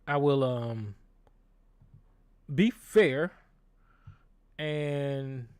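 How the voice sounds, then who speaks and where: A man's voice: mid-range voice, extremely slow, a little mellow, smooth, no echo. A man hosting a call-in show, talking bluntly and with a lot of profanity, often restarting his sentences. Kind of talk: casual call-in show monologue. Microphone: desk microphone with board.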